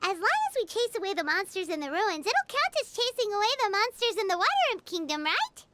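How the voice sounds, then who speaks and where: A woman voicing a small, excitable, curious childlike fairy companion in a video game, with a squeaky, expressive delivery. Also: fairly quick, very noisy. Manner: expressive, speaks of self in third person.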